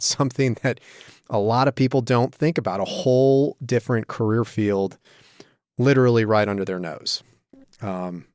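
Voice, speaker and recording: A man's voice: lowish voice, dry recording, clean studio sound. A man hosting a podcast, talking in a friendly, chatty way as he introduces the show and his guest. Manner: friendly, talkative, reminiscing. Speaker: podcast host.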